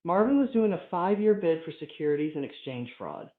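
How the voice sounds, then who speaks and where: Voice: medium pitch, big pitch swings, brisk. A person reading a humorous first-person story aloud as scripted narration. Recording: echoey room, thin phone-like sound.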